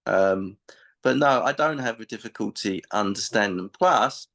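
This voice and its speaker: A man's voice: on the low side, normal speed, creaky, a bit bright. A man answering interview questions as a martial arts instructor, explaining his views emphatically.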